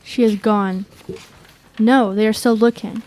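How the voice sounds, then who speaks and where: A woman's voice: medium pitch, steady tone, measured pace, a little mellow, a little hiss or hum, close to an everyday voice, rough recording. A young woman playing a watchful radio reporter, giving tense eyewitness commentary in short statements for an audio drama. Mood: urgent. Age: young adult.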